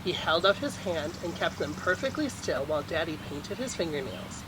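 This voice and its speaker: An expressive picture book reader with a mid-pitched voice. The narration is animated and theatrical in its swings, over clearly audible noise. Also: moderate pace. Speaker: storytime reader.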